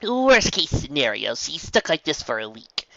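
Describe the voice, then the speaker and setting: A man's voice: on the high side, big pitch swings, moderate pace, gravelly, bright, forceful tone, no room sound, voice pushed up, exaggerated voice. A man voicing a flustered, defensive female unicorn magician in a storybook reading, stammering excuses on a lo-fi recording. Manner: stammering, self-justifying. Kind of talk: acted storybook dialogue.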